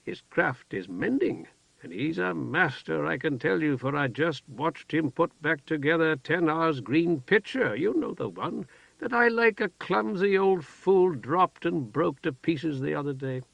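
Man doing a gentle older wizard. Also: fairly high pitch, lively intonation, measured pace, creaky, no room sound, voice pushed up, full character voice. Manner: soft-spoken, warm and plain. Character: gentle, weathered older wizard, calm and kind. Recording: dull lo-fi dry recording.

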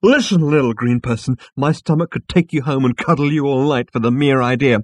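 A man puts on a cocky, excitable alien hipster for a sci-fi audiobook. The voice is pushed high and swoops about, full of slangy swagger and exclamation. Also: moderate pace, husky, dry recording, exaggerated voice. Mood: excited. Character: brash, excitable two-headed alien man, cocky and hip.

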